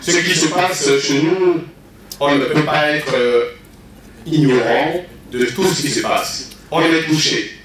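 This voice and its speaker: Man, slow deliberate speech, earnest, distant mic, echoey hall with faint noise. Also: on the high side, a bit bright. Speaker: audience member addressing the prime minister. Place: large meeting room.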